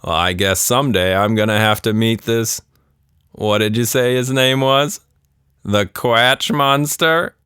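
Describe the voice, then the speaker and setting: A man's voice: mid-range voice, unhurried, bright, forceful tone, clean tone, dry recording, clean studio sound. A man playing a flustered, mildly skeptical father in a children's story, hesitant and indulgent. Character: flustered, mildly skeptical suburban dad of a young girl.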